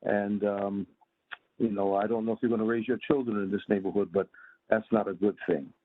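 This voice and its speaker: An American man in his seventies speaking earnestly and in a measured way at a community meeting, over a phone line. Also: on the low side, fairly even intonation, no echo. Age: 70s. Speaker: imam, community member calling in. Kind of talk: public comment at a community meeting. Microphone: telephone call. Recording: narrow, tinny phone line.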